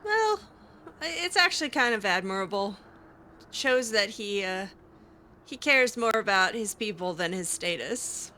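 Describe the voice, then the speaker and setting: A woman's voice: medium pitch, lively intonation, unhurried, bright, forceful tone, slight background noise. A woman improvising casual in-character dialogue as she plays a role-playing game, chatty and hesitant with a lightly sardonic edge. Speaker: gamer role-playing her character aloud.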